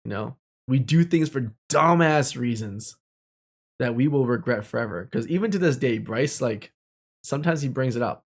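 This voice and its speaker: Young guy just talking, candid story time, reflective, informal, medium pitched voice. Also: animated, normal speed. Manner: candid, informal, with mild swearing.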